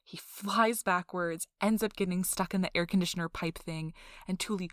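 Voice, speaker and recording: A woman playing an excitable, nerdy young girlfriend who rambles casually about her tabletop game in an animated ASMR role-play. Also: on the low side, normal speed, dry recording, studio quality, natural voice. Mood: thrilled. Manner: animated, breathless emphasis, frequent "like" asides.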